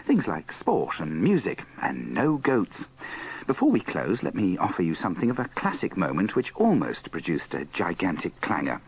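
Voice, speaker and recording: Low, husky British man, very animated presenter. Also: moderate pace. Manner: dry, tongue-in-cheek. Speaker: presenter of a radio bloopers compilation. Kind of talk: wry scripted links between archive clips.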